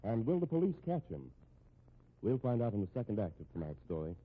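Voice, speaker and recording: A man's voice: on the low side, big pitch swings, brisk. An American man narrating a radio mystery as its announcer, in a dramatic scripted 1950s style. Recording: muffled, tinny old radio recording.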